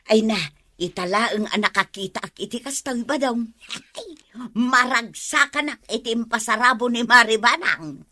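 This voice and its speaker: A woman acting an excitable, teasing village gossip in an Ilocano comedy drama, with an Ilocano accent. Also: a little high, moderate pace. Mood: chatty.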